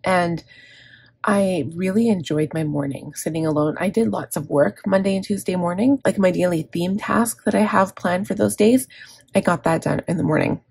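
Woman, middle-aged planner vlogger, gravelly and husky throat, candid rambling chat with self-corrections. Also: fairly low pitch, moderate pace, darkish tone, no room sound. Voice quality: gravelly, scratchy. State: scratchy, gravelly throat from inhaler. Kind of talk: casual plan-with-me chat. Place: indoors at a desk.

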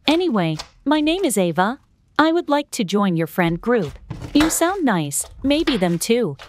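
A woman's voice: a little high, very animated, measured pace, noisy background. A woman playing an insecure but friendly girl gamer in an amateur scripted storytime drama, speaking earnestly. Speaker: voice actor in an animated storytime drama. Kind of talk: scripted amateur roleplay dialogue.

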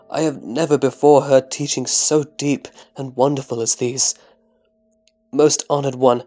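A man's voice: medium pitch, a little mellow, close to an everyday voice. A man playing a devout, reverent disciple, earnest and formal, in a scripted sutra audio drama. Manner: earnest, respectful, formal delivery. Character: Subhuti, reverent, devout male disciple of the Buddha.

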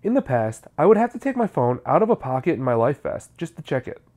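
A man's voice: medium pitch, sing-song, quick pace, a little mellow. A man, narrating a how-to video in a scripted, explanatory style.

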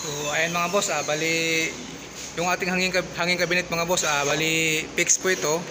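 A man with a slightly high voice narrates a casual tour of a warehouse under construction, recorded on a handheld phone. He chats loosely and keeps stopping on filler sounds. Background noise is clearly audible. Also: flat delivery, normal speed. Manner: chatty, many fillers, keeps addressing viewers as "boss". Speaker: construction vlogger. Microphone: handheld phone camera.

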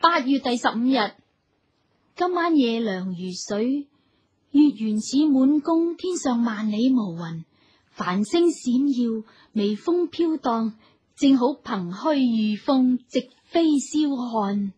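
An actress playing a caring, spirited sister-in-law in a radio drama, expressive and theatrical, with a Hong Kong Cantonese accent. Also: female voice, fairly high pitch, slow, slightly rough, exaggerated voice. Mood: lyrical.

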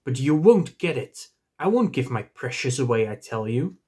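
A man's voice: medium pitch, very animated, slow pace, bright, forceful tone, slightly rough, heavily acted. Man voicing an angry, possessive old hobbit. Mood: obstinate, indignant. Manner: defiant, obstinate, indignant.

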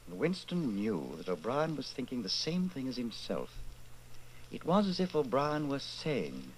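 A man narrating in an ominous, deliberate old-time radio drama style. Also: mid-range voice, huge pitch swings, a little hiss or hum, rough recording.

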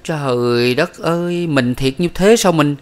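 A man's voice: a little high, animated, normal speed, pitched above the performer's own voice, natural voice. A man voicing a desperate, weak-willed young man in a storybook, pleading and whining.

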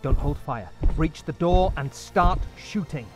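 A man's voice: on the high side, lively intonation, a little slow. A man acting a terse armed raid leader giving orders in an audio roleplay. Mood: terse, menacing. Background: loud music.

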